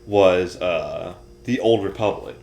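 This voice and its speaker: A man's voice: sits low, takes it slowly, gravelly, mellow. A man talking casually as a podcast co-host, chatting about entertainment. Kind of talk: casual chat about games and shows. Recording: roomy, echoey room.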